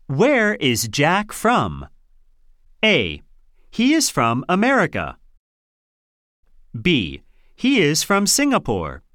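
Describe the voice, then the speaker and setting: A woman reading scripted lines and answer options for an English listening test, slow and carefully enunciated. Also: low voice, huge pitch swings, a bit bright, no room sound, clean studio sound.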